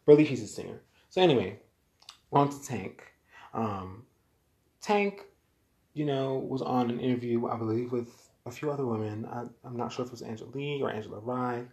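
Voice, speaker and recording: A man's voice: medium pitch, normal speed, darkish tone. An American man delivering a blunt, exasperated, lecturing opinion monologue straight to his audience. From United States. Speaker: vlogger giving opinions. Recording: roomy echo.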